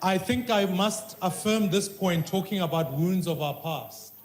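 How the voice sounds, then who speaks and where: A man, questioning the president in formal, measured parliamentary style. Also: high voice, noisy background. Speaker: member of parliament. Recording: echoey parliamentary chamber.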